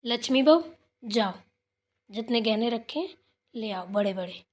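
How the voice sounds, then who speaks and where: A high female voice from an actress plays a village mother-in-law in a family cartoon. She is caring but quick to chide, and coaxes in a homely way. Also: lively intonation. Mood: firm. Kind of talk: Hindi family moral cartoon dialogue.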